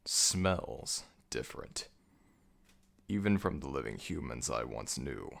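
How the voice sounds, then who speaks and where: A man playing a commanding, brooding ancient jackal god of the dead in an ASMR audio roleplay, with a gravelly, very deep voice and a slow, imperious delivery. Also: big pitch swings, a bit bright, heavily acted. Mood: grave, explanatory.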